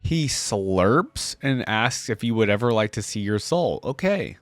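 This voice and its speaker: A man's voice: on the low side, expressive, measured pace, dry recording, soft background music. A man hosting a reaction video, commenting casually and musing aloud to his viewers.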